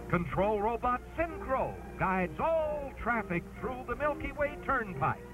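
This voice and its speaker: A man's voice: extremely high pitch, poor audio. A man giving a theatrical, emphatic dramatic reading of an old-fashioned children's adventure, with music under his voice. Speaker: narrator, live stream host.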